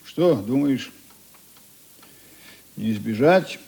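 A weary writer in a radio play, with a mellow, dark voice at a middling pitch. He is laconic and subdued, answering as if reluctant to talk. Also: animated, extremely slow, vocal fry, heavily acted. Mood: musing, drowsy. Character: weary, laconic male writer, old friend of a policeman. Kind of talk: Russian radio drama dialogue.

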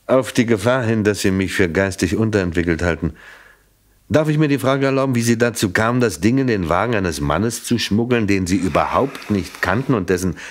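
A shrewd, doubting police inspector acted by a man in a German radio play. His voice sits fairly low and moves expressively up and down, polite and measured with a dry, ironic edge. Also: animated, close to an everyday voice. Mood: dry irony.

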